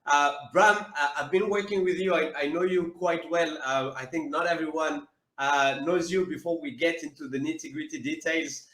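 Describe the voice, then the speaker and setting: A man's voice: a little high, steady tone, moderate pace, a bit bright, reverberant, rough recording. A man hosting an interview, friendly but hesitant, with many fillers and repeats, on a video call. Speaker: podcast host.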